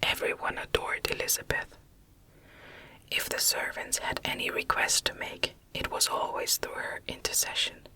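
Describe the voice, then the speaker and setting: A woman's voice: normal speed, pushed and bright, poor audio. Whispering woman reading a classic novel. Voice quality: breathy unvoiced whisper. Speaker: audiobook narrator.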